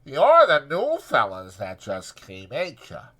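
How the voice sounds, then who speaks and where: A man's voice: medium pitch, wildly swooping pitch, slow pace, bright, forceful tone, voice pushed up, exaggerated voice. Man putting on nasal, coy young ranch wife. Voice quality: nasal, brittle.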